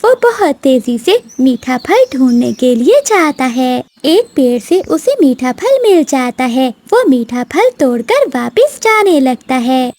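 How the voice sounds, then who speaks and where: A woman's voice: sits high, sing-song, unhurried, hardly any pauses, darkish tone. A woman narrating a scripted children's cartoon story.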